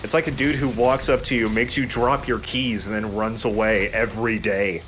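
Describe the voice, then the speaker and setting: A man's voice: lowish voice, steady tone, normal speed, fairly bright tone, slight background noise. A man giving casual, joking let's play commentary as he games. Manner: joking, bantering.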